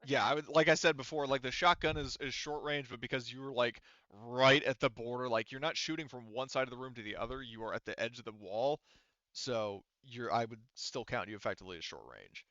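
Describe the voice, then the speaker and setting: Guy game mastering a tabletop rpg, casual and sweary, clear bright voice, a bit lo-fi. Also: medium pitch, a little fast, no echo. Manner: informal, swearing.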